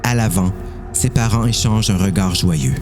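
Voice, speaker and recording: A Québécois man narrates a dramatized story from a script. His voice is low. Music runs clearly underneath the narration. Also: moderate pace.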